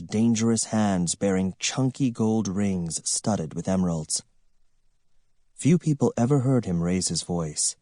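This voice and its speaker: A man narrating literary fiction from a script in a measured, expressive storytelling manner. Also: lowish voice, no room sound, studio quality. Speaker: audiobook narrator.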